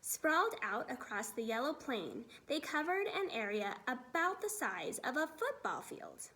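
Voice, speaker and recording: Female storybook reader, teacherly read-aloud narration, high voice with lively swings in pitch. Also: a little slow.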